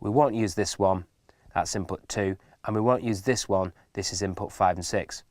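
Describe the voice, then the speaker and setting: A man's voice: fairly low pitch, normal speed, darkish tone, no echo. A man giving an informal, hands-on tutorial, explaining things patiently step by step. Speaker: instructor. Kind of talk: casual spoken hands-on tutorial.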